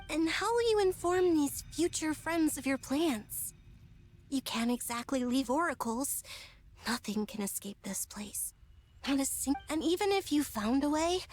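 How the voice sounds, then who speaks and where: A woman voicing an anxious, caring young girl companion in a video game, earnest and questioning. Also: high-pitched.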